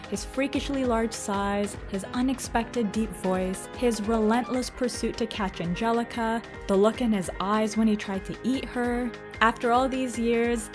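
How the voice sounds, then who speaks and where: Woman host, fairly high voice, dramatic scripted storytelling, clear music bed underneath. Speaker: host and narrator of a countdown video. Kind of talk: scripted narration about cartoons. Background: music behind the voice.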